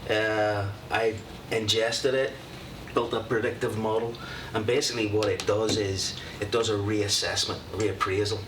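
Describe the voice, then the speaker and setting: Northern Irish man, low voice, distant. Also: unhurried, few pauses. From Northern Ireland. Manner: relaxed, conversational, with filler words. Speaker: software presenter. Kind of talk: presenting at a public meeting. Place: county committee meeting room. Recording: roomy hall, distant mic, steady noise.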